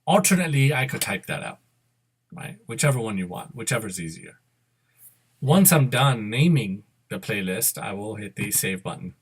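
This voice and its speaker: A middle-aged man narrating a step-by-step screen demo, unscripted and halting, correcting himself as he instructs. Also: on the low side, expressive, husky. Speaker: software tutorial presenter. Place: indoor room at a computer.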